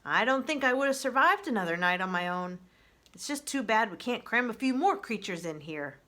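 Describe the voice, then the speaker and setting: A woman's voice: a little high, animated, normal speed, bright, forceful tone. A woman voicing a friendly, grateful fox in a children's storybook, with casual, chatty phrasing.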